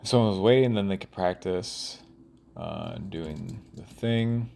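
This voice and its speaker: A man with a lowish, gravelly voice talks slowly through his thoughts as if recording an audio log. He is casual and profane, stopping and starting as he goes. There is faint background noise with some keyboard typing. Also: huge pitch swings, a little mellow. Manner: halting, trailing off mid-thought. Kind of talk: rambling audio log, thinking aloud.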